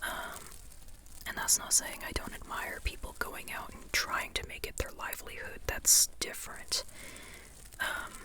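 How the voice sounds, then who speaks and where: A person whispering an informal, rambling ASMR book chat in a breathy, unvoiced voice, like a friend talking on and on. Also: unhurried, sharp tone. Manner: informal, rambling, often checking in with "you know". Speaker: book reviewer and artist.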